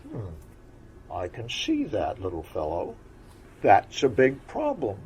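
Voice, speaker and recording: A big old frog from a bedtime story, deep and croaky, patient and kindly as he gives advice, put on by a man. He leans in loudly, then drops to near-whispers, and his delivery stays slow and unhurried. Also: huge pitch swings, slight background noise, heavily acted. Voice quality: deep frogish voice. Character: enormous old male frog, kindly and wise.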